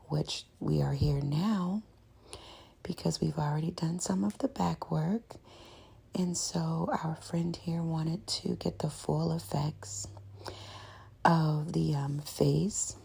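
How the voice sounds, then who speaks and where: A soft-spoken woman with a breathy, raspy voice that often drops to a whisper, narrating an ASMR voiceover in an unhurried, rambling way. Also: sits low. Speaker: scratch therapist hosting ASMR videos.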